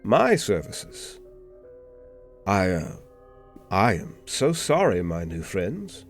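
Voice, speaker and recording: A man playing a refined, polite, world-weary information dealer with theatrical, hesitant pauses in a fantasy audio roleplay. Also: low voice, huge pitch swings, soft background music, heavily acted. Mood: apologetic, sympathetic.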